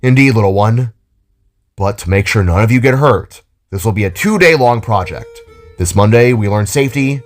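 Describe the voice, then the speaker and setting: A man's voice: lowish voice, lively intonation, normal speed, soft background music, lightly acted. A man voicing an older, kindly and enthusiastic robot teacher with a theatrical, explanatory way of talking. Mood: instructive. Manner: showy, explanatory.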